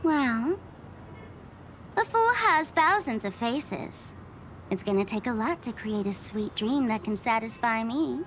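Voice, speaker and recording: A woman's voice: on the high side, a little hiss or hum. A woman voicing a playful, mocking masked trickster in a game dub, theatrical and fond of her own jokes. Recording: game cutscene with music underneath.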